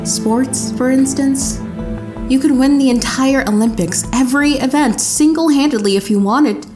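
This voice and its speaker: A woman's voice: sits high, moderate pace, natural voice. A woman playing a hero's earnest, exasperated young girlfriend in an audio drama. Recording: music under the voice.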